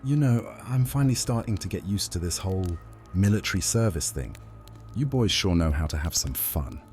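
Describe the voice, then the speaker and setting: Casting: man as playful vampire prince for fantasy audio drama, very low voice, swinging delivery, courtly teasing. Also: lively intonation, normal speed, a little mellow, soft background music. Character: playful, cocky vampire prince serving as a soldier.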